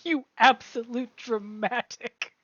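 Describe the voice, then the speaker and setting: A woman's voice: on the high side, steady tone, slow, a bit bright, slightly rough, no room sound, dull audio. A woman giving casual, playful commentary as a co-host, teasing and wry.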